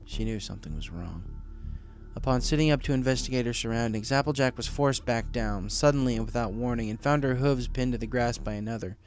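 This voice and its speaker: Male narrator, medium pitch, scripted storytelling, music in the background. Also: fairly quick.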